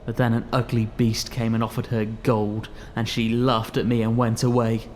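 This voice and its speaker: An actor playing a proud, lovelorn young poet in an audio drama, earnest and declamatory. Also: male voice, mid-range voice, completely flat delivery, normal speed, a little mellow, a little hiss or hum, lightly acted. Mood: bitter. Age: young adult. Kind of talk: theatrical audio drama acting.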